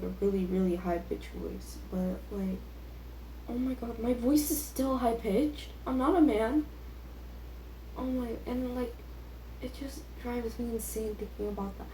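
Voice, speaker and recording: Teen female vlogger, soft-edged relaxed tone, self-deprecating off-the-cuff chatter, recorded in a reverberant room. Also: a little high. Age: teenager. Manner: self-deprecating, filler-heavy youthful talk. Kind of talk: casual rambling chat. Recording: echoey room with faint background noise.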